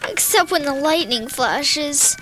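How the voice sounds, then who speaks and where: A timid young girl in a spooky children's audio play. Her voice is extremely high and swoops about, nervous and whiny, with music behind her. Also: lively intonation, slow, pushed and bright, exaggerated voice, rough recording. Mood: alarmed. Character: timid, anxious young girl wanting to go home. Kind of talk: children's audio horror drama acting.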